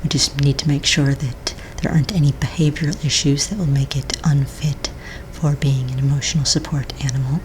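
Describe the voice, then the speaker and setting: Woman as patient fantasy-registry office manager, ASMR roleplay. Low, soft, breathy near-whisper, gentle and unhurried, keyboard typing and background noise. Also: fairly even intonation. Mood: calm, polite. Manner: gentle, unhurried, hesitant pauses while checking paperwork. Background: computer keyboard typing.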